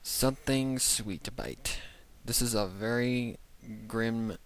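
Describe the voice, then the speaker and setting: A man's voice: mid-range voice, slow. A man hosting a reaction video with casual, off-the-cuff commentary.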